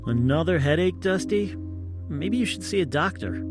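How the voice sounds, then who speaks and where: A man's voice: a little high, lively intonation, moderate pace, music in the background, natural voice. A man playing a detective's concerned, chatty assistant in an audio drama, conversational and inquisitive. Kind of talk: scripted audio drama dialogue.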